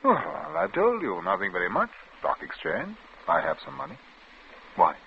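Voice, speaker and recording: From a muffled old radio play with faint noise, a courteous English gentleman. His medium-pitched voice moves expressively, polite and a little hesitant, always sidestepping. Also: wildly swooping pitch, normal speed, gravelly, exaggerated voice. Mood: evasive. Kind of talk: old-time radio drama acting.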